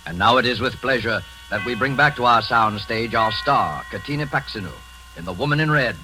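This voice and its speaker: A man announcing a radio drama in a formal, measured 1940s broadcast style. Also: medium pitch, bright, forceful tone, rough recording. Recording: old broadcast with music under it.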